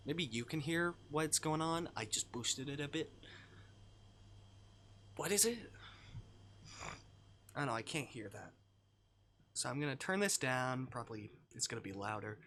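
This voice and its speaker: A man's voice: medium pitch, fairly bright tone, slight background noise. A man live streaming, reading a visual novel aloud and breaking off for informal, jokey asides. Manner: informal, jokey, swearing, reacting to the story.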